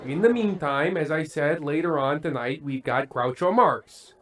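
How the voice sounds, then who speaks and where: A man playing a hesitant, uneasy old-fashioned TV variety host with halting, folksy patter, in the style of an old-time radio play. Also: on the high side, a bit bright, lightly acted. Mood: folksy, awkward showman.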